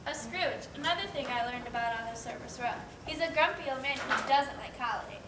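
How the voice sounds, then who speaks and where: A woman's voice: high-pitched, a little fast, distant mic, close to an everyday voice. A woman playing an earnest, eager young girl crab in a children's stage play. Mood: reproving. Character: earnest young female crab, dreamy holiday lover. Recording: very echoey, noisy hall from afar.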